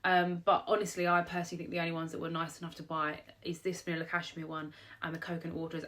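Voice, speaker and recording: A young woman with a British accent talks casually to camera, rambling through her purchases with plenty of fillers. She has a cold, so her voice sounds a little nasal and bunged up. Her voice sits slightly on the low side for a woman. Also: fairly bright tone. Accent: British English. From England. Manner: chatty, rambling, many "um" and "like". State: blocked nose from a cold. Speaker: vlogger. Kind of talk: casual haul vlog talk to camera.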